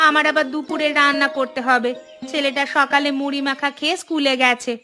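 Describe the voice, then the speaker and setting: Woman acting a high-voiced, sharp-tongued village wife. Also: fairly even intonation, brisk, soft background music. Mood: friendly. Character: sharp-tongued but caring younger village wife and mother. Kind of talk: cartoon voice acting.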